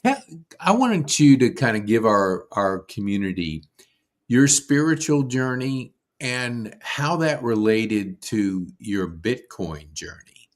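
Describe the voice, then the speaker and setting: A podcast host with a slightly low voice puts a loose, friendly question to his guest. He circles back and restarts his phrasing before he lands the question. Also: very animated, slow. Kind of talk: casual interview questions.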